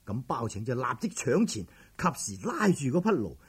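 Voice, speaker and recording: A man narrates a tale in Cantonese storytelling style. His voice is mid-pitched and very husky, with wide, lively swings. The delivery is colloquial and emphatic. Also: moderate pace. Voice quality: very husky, raspy.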